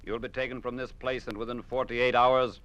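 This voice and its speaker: An actor playing a stern, coercive judge at a show trial in an old radio drama, with a formal, commanding delivery. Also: male voice, mid-range voice, little pitch movement, a little fast, fairly bright tone, clean tone, close to an everyday voice. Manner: formal, commanding, declamatory in court. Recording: noisy old radio recording.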